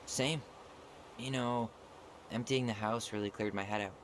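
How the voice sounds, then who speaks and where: An actor playing an easygoing young American man in a video game, speaking casually and with gentle, understated humor. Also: lowish voice, fairly even intonation, a little slow, a little hiss or hum, natural voice. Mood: joking, warm. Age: young adult. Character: easygoing young man, twin brother leaving his childhood home. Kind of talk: naturalistic video game dialogue.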